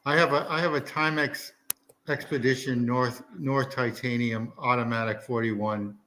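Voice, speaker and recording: Older male guest, bright edgy tone, keeps talking, restarts his sentences. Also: medium pitch, fairly even intonation, slight background noise, rough recording. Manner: talkative, quick to share, repeats himself. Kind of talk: casual chat.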